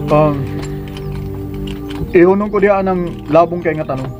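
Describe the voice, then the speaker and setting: Higher-pitched secretive guide, music behind. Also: male voice, a little slow. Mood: secretive, directing. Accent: Filipino, Cebuano-speaking. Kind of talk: Philippine radio drama acting.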